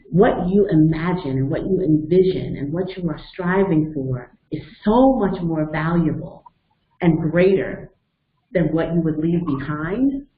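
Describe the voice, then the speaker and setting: A woman in her fifties leading a motivational webinar, explaining step by step and telling personal stories in an earnest way. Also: low-pitched. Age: 50s. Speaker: webinar facilitator and coach. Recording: echoey, phone-like narrow sound.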